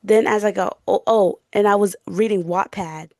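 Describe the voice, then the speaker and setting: A young woman with a noticeably creaky, mid-pitched voice chats as a podcast co-host. Her delivery is casual and slangy, padded with fillers and prone to giggles. The sound is close and dry. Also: steady tone, normal speed, mellow, no room sound. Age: around 20. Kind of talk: casual chat.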